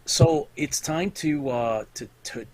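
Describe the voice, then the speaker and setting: A man co-hosting a live show, talking in a relaxed, halting way and dropping in short agreements. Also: medium pitch, dry recording, rough recording. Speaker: co-host. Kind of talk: casual chat on a live show.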